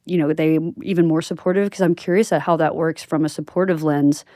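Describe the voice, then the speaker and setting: A woman's voice: deep voice, fairly even intonation, brisk, warm tone, no echo, clean studio sound. A woman interviewing as a podcast host in a casual, chatty and affirming conversational style.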